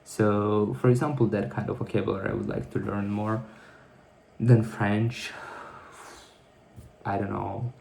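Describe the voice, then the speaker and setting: A man with a low, gravelly voice rambles through a casual diary-style vlog, often stopping to correct himself. His tone is dark and mellow, and the echo of a bare room hangs around his words. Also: little pitch movement, measured pace, pauses often, a little hiss or hum. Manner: rambling, self-correcting, thinking aloud. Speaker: vlogger. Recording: echoey room.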